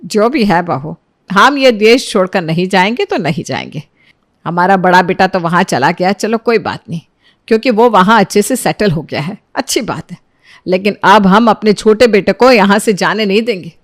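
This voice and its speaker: A woman's voice: medium pitch, animated, moderate pace, no echo, clean studio sound, lightly acted. A woman voicing a firm, protective older mother-in-law in a moral story, stern and insistent.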